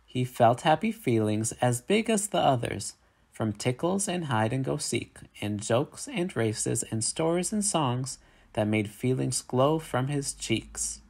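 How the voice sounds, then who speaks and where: A man reading a children's picture book aloud in an expressive, measured storytelling manner. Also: medium pitch, gravelly.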